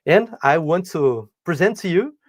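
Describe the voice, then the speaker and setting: A man hosting a webinar, reading out a promotion and introducing the speaker in a measured way. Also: on the high side, lively intonation, dry recording, studio quality. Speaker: webinar host. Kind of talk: announcement and introduction.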